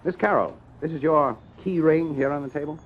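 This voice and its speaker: An actor playing a shrewd, dry police inspector in a 1950s radio crime drama, probing and sardonic. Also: male voice, on the high side, brisk, narrow, tinny audio. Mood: pressing. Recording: band-limited old radio recording, faint music.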